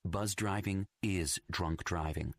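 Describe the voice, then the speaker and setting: A man's voice: sits low, steady tone, slow, no room sound, clean studio sound. A man reading scripted narration for a radio public service announcement.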